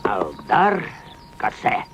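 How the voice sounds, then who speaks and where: A man playing a humble, earnest, grateful poor shepherd in an animated cartoon. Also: drawn-out words, full character voice. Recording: dull noisy old cartoon soundtrack with rumble.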